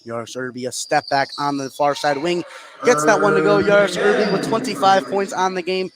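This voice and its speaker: A man's voice: sits high, steady tone, brisk, a loud crowd. A man doing live play-by-play basketball commentary as a sports announcer. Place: courtside in an indoor high school gym. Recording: noisy live broadcast from a gym. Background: loud gym ambience.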